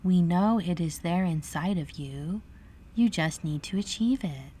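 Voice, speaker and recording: A man voicing gentle little fairy sisters in a high, breathy whisper. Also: a little slow.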